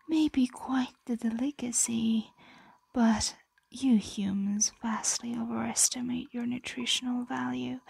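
A woman playing a possessive, teasing ancient dragon in a whispered ASMR roleplay, breathy, lingering, doting and predatory. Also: on the high side, darkish tone, no room sound, exaggerated voice.

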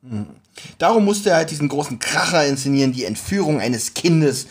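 A man's voice: a little high, lively intonation, normal speed, breathy edge. A man, co-hosting a podcast in casual chat and retelling a story. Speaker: podcast co-host.

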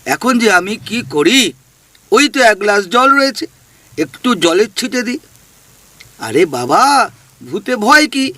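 A man's voice: sits high, very animated, pushed and bright, dry recording, exaggerated voice. A man playing a cheeky teasing ghost. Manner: cheeky, mocking, drawn-out teasing. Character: mischievous male ghost, teasing and bossy. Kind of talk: audio drama acting.

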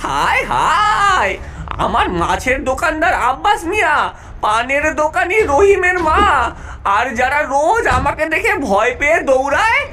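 A woman voicing a centuries-old fish-ghost alien secret agent in a cartoon, excitable and soft-hearted, dramatically exclaiming and pleading. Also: sits high, normal speed, a little hiss or hum, exaggerated voice. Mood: dismayed. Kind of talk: animated cartoon voice acting.

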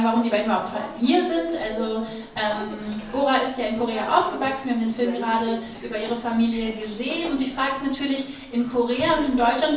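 A woman moderating a panel discussion, enthusiastic and upbeat. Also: a little high. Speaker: event moderator. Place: gallery room with audience. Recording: echoey room from far off, audience.

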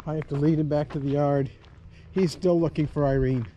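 A man's voice: fairly high pitch, fairly even intonation, a little fast, dark tone, dull audio, slight background noise. A man chatting casually and coaxingly to a dog as he films a vlog outdoors. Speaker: vlogger. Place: outdoors on a rural homestead.

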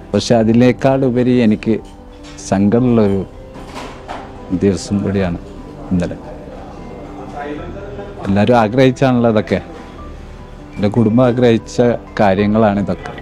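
A man in his thirties interviewed inside his new restaurant, speaking slowly and haltingly with quiet sorrow into a handheld mic. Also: fairly low pitch. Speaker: interviewee, cafe owner. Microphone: handheld reporter mic.

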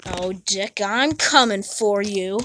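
A woman voicing a crazed man who hunts his family through a haunted hotel, in a lowered, taunting, menacing voice. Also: slow, fairly bright tone, slight background noise. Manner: menacing, taunting, deep put-on voice.

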